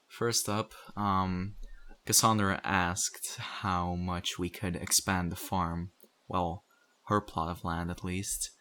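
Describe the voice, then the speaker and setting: A man's voice: sits low, fairly bright tone. A man acting as a weary survivor in a zombie-apocalypse audio roleplay, talking conversationally and straight to the listener as a community right-hand man. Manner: conversational, urging, mildly exasperated.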